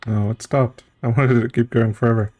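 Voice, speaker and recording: A man's voice: mid-range voice, fairly even intonation, brisk, a little mellow. A man streaming a story game, commenting casually on it as he plays, with game music behind him.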